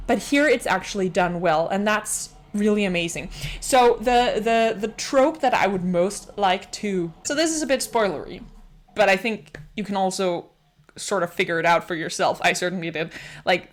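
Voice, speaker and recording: A woman with a Danish accent giving a casual spoken book review, enthusiastic and rambling, often restarting her sentences. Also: mid-range voice.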